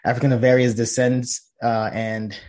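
A man's voice: mid-range voice, flat delivery, normal speed, darkish tone. A man from Nigeria, a professor guest in a conversational interview, explaining earnestly with many fillers and restarts.

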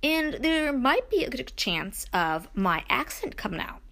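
A woman's voice: high-pitched, sing-song, a little slow, bright, forceful tone. A woman from Brooklyn hosting her own podcast, talking alone in a chatty, rambling way with many ums and restarts. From Brooklyn, New York. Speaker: podcast host and author. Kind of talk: solo podcast monologue.

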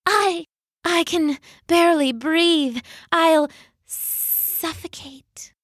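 A woman's voice: very high-pitched, extremely slow, a bit bright, dry recording, studio quality, pitched above the performer's own voice, full character voice. A woman voicing a haughty, lazy snake who drawls and hisses with theatrical flair in a storybook reading. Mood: dramatic.

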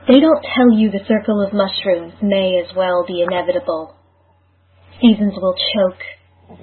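A woman reading poetry aloud in a measured, literary style. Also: medium pitch, slightly rough. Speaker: poetry reader. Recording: thin, phone-like narrow audio.

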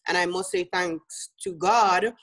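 A woman's voice: fairly low pitch, fairly even intonation, a little slow, a bit bright. A woman from Jamaica with a Jamaican accent, a business coach speaking as an interview guest in an earnest way, with easy laughs and many fillers.

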